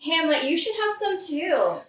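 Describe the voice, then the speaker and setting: A woman's voice: very high voice, sing-song, measured pace, background noise, heavily acted. A woman playing a doting, willful queen mother in a modern comic audioplay, cooing and indulgent. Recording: echoing room, distant from mic.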